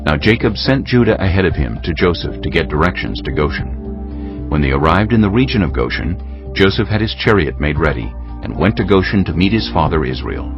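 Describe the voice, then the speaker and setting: A man narrating scripture as a dramatized audiobook in a measured, solemn storytelling manner. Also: sits low, sing-song, dull audio. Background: clear music.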